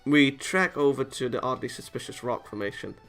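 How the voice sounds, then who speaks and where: Male let's player, bright gravelly voice, reads story text with loose sweary asides, light music behind. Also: medium pitch, animated, fairly quick. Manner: stumbles over read lines, swears casually. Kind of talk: let's play, reading visual novel aloud with casual asides.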